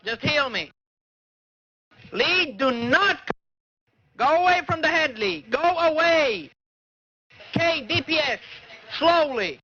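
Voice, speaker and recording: Very high-pitched, angry male raid leader. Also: animated, takes it slowly, long pauses, sharp tone. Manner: angry, profane, commanding, repetitive. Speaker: online game raid leader. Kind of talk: barking orders during a game. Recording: dull lo-fi old voice chat.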